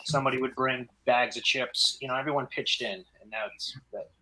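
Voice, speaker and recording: A man's voice: medium pitch, normal speed, bright, forceful tone, low-quality recording. A man chatting casually as a filmmaker guest, often repeating words as he goes. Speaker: independent filmmaker, podcast guest. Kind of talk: casual chat.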